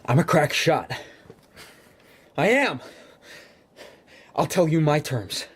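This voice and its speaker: High-pitched, jittery, volatile gunman. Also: big pitch swings, takes it slowly, breathy edge, exaggerated voice. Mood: nervous boasting. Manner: agitated, stammering, shrill under pressure. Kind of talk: radio drama acting. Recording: noisy radio drama recording.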